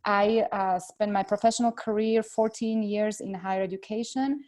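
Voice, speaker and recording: A middle-aged woman from Slovakia with a Slovak accent, answering interview questions earnestly and filling pauses with "uh.". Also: medium pitch. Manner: earnest, steady, frequent "uh" fillers. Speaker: congressional candidate.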